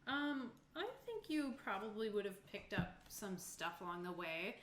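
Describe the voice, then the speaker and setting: A woman's voice: medium pitch, lively intonation, unhurried, noisy background. A woman casually explaining game rules as game master, in an easygoing, apologetic way. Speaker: game master running a tabletop roleplaying game. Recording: roomy, echoing room sound.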